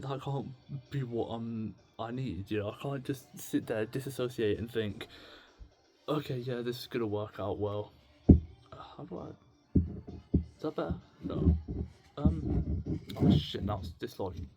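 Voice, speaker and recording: A young British man talking casually to camera in a confessional vlog, rambling, halting and self-deprecating. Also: mid-range voice, darkish tone, husky, faint music behind. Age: young adult. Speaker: video blogger. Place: indoors at home with music on in the background.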